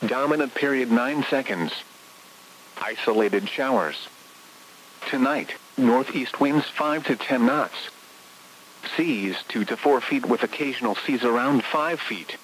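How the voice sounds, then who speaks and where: A man's voice: mid-range voice, low-quality recording. Synthetic male weather radio voice, faint hiss. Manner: measured, impersonal. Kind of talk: automated marine forecast reading. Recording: hissy weather radio reception.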